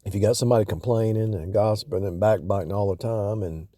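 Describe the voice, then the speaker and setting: A man's voice: lowish voice, steady tone, fast, mellow, dry recording, studio quality. A man giving casual, off-the-cuff spiritual teaching in a rambling way, repeating and rephrasing himself for emphasis. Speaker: religious vlogger.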